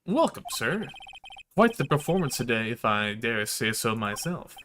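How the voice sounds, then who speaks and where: A man's voice: medium pitch, sing-song, a little slow, fairly bright tone, slight background noise. Man playing a fawning, boastful hotel bellboy. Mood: fawning, eager. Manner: fawning, formal, peppering speech with sir. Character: obsequious, boastful, opportunistic young hotel bellboy. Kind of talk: visual novel character read aloud.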